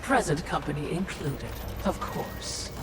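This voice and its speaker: A woman's voice: low voice, animated, a little slow, background noise. A woman voicing a sly, fawning ghostly servant in a video game, with an insinuating manner and a spectral echo. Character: sly, fawning female ghostly watcher serving her mistress. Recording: echoing, distant, loud music behind.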